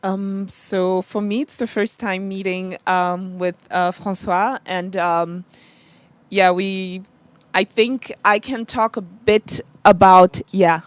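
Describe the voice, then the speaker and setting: A woman's voice: medium pitch, fairly even intonation, slow pace, no echo. Hesitant Congolese-born woman, dry sound. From Democratic Republic of the Congo. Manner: hesitant, many fillers, heartfelt. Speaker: activist speaker on a panel. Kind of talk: live panel talk, casual.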